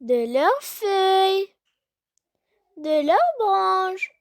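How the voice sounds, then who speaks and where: Very high childlike voice reciting poetry slowly. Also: animated, dry recording, clean studio sound. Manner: deliberate, phrase-by-phrase delivery with long pauses. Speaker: poem reciter. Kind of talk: slow recited children's poem for learners.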